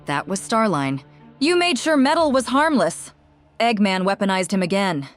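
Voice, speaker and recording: A woman's voice: mid-range voice, sing-song, normal speed, soft background music, natural voice. A woman acting a scrappy, cheeky young female lemur in a comic dub, breezy and slangy. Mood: brave, resigned.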